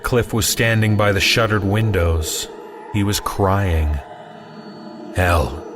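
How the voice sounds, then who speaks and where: A man narrating a scripted first-person horror story in a conversational way with wry asides. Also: low voice. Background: music.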